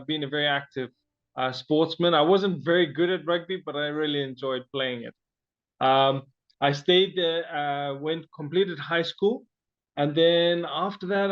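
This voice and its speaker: A man from Zimbabwe recounts his own story as an interview guest, pausing often and restarting his sentences. His voice sits a little high. The recording sounds dull and lo-fi. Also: a bit bright. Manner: halting, frequent fillers and restarts.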